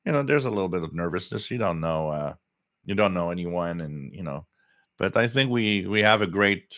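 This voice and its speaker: A French Canadian man, French his first language, hosts a live radio stream in a low, deep voice. He rambles through strong opinions, full of fillers and restarts. The sound is dry and close. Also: expressive, moderate pace, a bit bright, no echo. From Quebec, Canada. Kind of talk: live stream chat reading viewer comments.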